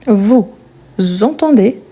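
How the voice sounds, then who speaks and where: A woman's voice: on the high side, lively intonation, very slow, warm tone, slightly rough. A woman with a non-native French accent giving a live French conjugation lesson, patiently repeating each verb form with fillers and asides. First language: not French. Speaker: French teacher.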